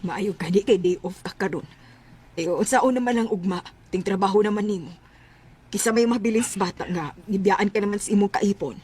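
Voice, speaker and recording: A woman's voice: medium pitch, a little fast, darkish tone, slightly rough, close to an everyday voice. A woman acting in a Filipino Bisaya radio drama as a caring older grandmother giving sympathetic advice. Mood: concerned. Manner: sympathetic, advising. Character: caring older grandmother, mother of grown son.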